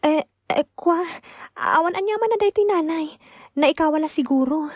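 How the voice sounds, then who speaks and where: An actress with an Ilocano accent playing a meek, anxious young wife in a radio drama, hesitant and placating, with stammers. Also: female voice, very high-pitched, moderate pace, a little mellow, no room sound. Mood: nervous, placating. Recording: dry studio with background music.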